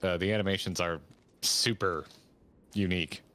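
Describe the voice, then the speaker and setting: A man's voice: sits low, steady tone, normal speed, bright, forceful tone. A man giving casual, joking reaction commentary as a streamer watching game cutscenes. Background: game cutscene music.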